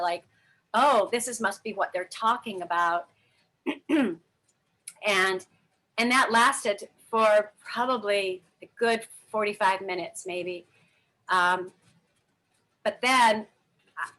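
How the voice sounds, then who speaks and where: A woman's voice: medium pitch, a bit bright, low-quality recording. A middle-aged woman telling her life story candidly in an interview, rambling with many fillers and restarts. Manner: frank, earnest. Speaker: interview guest.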